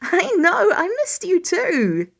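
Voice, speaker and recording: A woman's voice: very high voice, wildly swooping pitch, takes it slowly, creaky, voice pushed up, heavily acted. A woman voicing a cheery, stubborn teenage boy with an eager, informal way of talking, in a story reading. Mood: excited, doting. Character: cheery, stubborn sixteen-year-old boy doting on baby sister.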